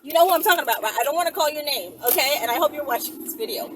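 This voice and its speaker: Woman, very lively intonation, chatty vlog storytelling, playful slangy delivery, distant mic, in a noisy moving vehicle. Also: high voice, racing. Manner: animated, playful, slangy, giggly. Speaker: vlogger telling stories to viewers. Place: moving vehicle while driving. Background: loud road and vehicle noise.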